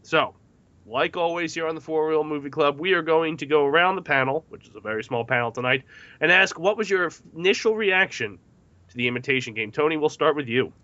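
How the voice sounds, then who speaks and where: A man's voice: on the high side, animated, a little fast, bright, forceful tone, no room sound, lo-fi sound. A man hosting a casual film discussion, partly reading from notes in a jokey, self-mocking way. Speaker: podcast host.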